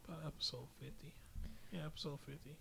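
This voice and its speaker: A man's voice: fairly high pitch, fairly even intonation, quick pace, bright, forceful tone. A man co-hosting a podcast, telling stories and bantering casually in slangy, profane language. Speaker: podcast co-host. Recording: clear background noise, clicks.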